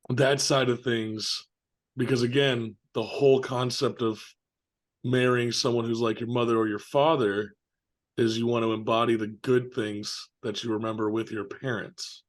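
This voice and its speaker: A man's voice: medium pitch, fairly even intonation, unhurried. A man co-hosting a casual film discussion, very informal and slangy, leaning often on filler words. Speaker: film podcast co-host.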